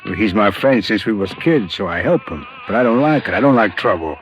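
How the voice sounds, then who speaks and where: A man's voice: lowish voice, lively intonation, brisk, lightly acted. An American man playing a reluctant working-class bartender in a 1950s radio drama, blunt and slangy. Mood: wary, grumbling. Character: wary, reluctant working-class male bartender, loyal to his friend. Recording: muffled old radio recording with music.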